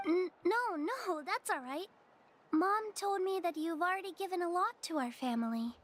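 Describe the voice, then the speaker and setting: A woman's voice: extremely high pitch, expressive, moderate pace, slight background noise. A woman voicing a shy, polite and hesitant little girl in a video game. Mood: shy refusal. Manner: hesitant, timid. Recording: music behind the voice.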